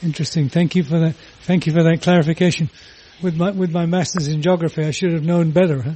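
Man, radio co-host, mellow tone, fairly high voice, curious, stammering. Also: fairly even intonation, fast talker. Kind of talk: radio interview.

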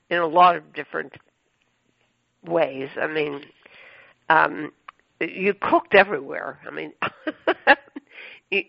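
A man hosts a chatty radio interview, his voice gravelly and pitched a little high. He rambles effusively, looping through fillers and restarts. The sound is dry and dull, like a lo-fi broadcast. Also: no echo. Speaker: radio show host.

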